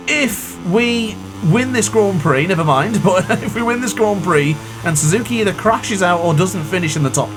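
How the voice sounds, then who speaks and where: A man commentating his own racing game run in a chatty, excitable way, with a sore throat. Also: sits high, poor audio. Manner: excitable, chatty, exclaims at crashes. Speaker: gaming commentator. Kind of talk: live gameplay commentary.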